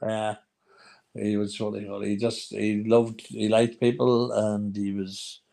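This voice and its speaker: A man's voice: on the low side, level tone, takes it slowly, creaky, warm tone. A man in his seventies with a Northern Irish accent, chatting casually as an interview guest in a plain-spoken way, often repeating his phrases. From Ireland. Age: 70s. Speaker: interview guest, charity founder.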